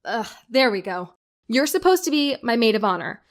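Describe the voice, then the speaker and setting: An actress playing a stressed but affectionate young bride with a wry, bossy, bantering manner in an audio drama. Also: female voice, fairly high pitch, sing-song, moderate pace.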